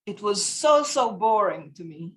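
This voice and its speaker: A woman's voice: medium pitch, big pitch swings, unhurried, a bit bright. A woman in her fifties with an Israeli accent, a guest on a video call from her home, searching for words as she talks. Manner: thoughtful, searches for words, laughs easily. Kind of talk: casual interview. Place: home room with bookshelves. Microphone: video call laptop mic.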